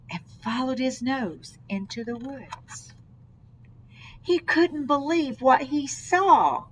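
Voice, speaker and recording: A woman reading a children's story aloud in an expressive way, with dramatic, deliberate phrasing. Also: on the high side, slight background noise. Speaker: storybook reader.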